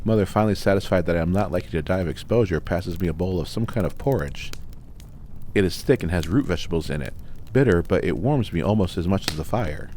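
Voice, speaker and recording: A man reading a scripted first-person fantasy story as narrator, in measured, dramatic, deliberate phrases. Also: low-pitched, animated, a little mellow, slight background noise. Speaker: audiobook narrator.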